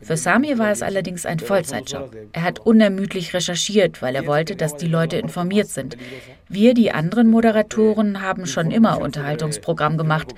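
A woman's voice: on the low side, fairly quick, a crowd in the background. A woman reading a scripted radio feature as a correspondent, narrating and voicing translations of quotes.

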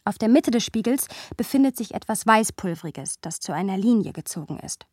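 A woman acts a reflective first-person narrator in a literary radio play. She tells it in a measured, wry way at a medium pitch. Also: animated, a little mellow, no room sound, studio quality, natural voice.

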